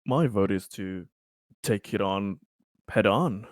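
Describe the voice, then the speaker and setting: A man's voice: fairly low pitch, slow pace, dry recording, studio quality. A man playing a tabletop roleplaying game, talking casually with the other players.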